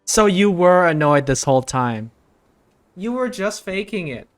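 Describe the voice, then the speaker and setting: A woman's voice: fairly low pitch, animated, a little slow. A woman giving casual live commentary as she reacts to a video game. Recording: game music behind voice. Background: game music.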